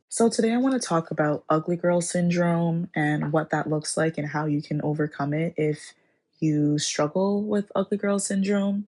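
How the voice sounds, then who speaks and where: A woman hosting her channel, raspy from a cold, giving advice in a measured lecturing way that lists points one by one. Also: low-pitched, a little mellow. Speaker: channel host. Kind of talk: advice monologue to camera.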